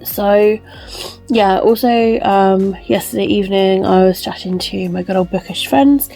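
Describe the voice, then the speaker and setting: A woman's voice: mid-range voice, a little slow, gravelly, dark tone, soft background music, low-quality recording. A young woman chatting casually in a vlog, rambling with lots of ums and likes. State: wheezy, recovering from illness. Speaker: book vlogger.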